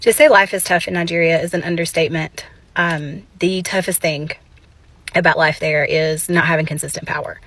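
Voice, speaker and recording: A woman's voice: low-pitched, steady tone, normal speed, a bit bright, no room sound. A woman giving a thoughtful, reflective monologue with hesitant ums.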